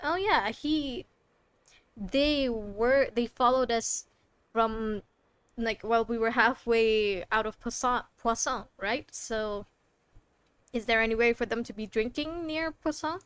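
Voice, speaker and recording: A woman's voice: on the high side, slow, a bit bright, clean tone, dry recording. A woman doing casual game playthrough commentary, playfully teasing and talking back to the characters on a close microphone. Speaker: game streamer.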